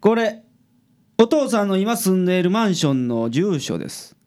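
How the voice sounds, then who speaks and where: A woman's voice: mid-range voice, very animated, normal speed, darkish tone, lightly acted. A woman playing a cool, dry-witted male private detective with a Kansai accent in a radio drama. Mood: serious, deductive.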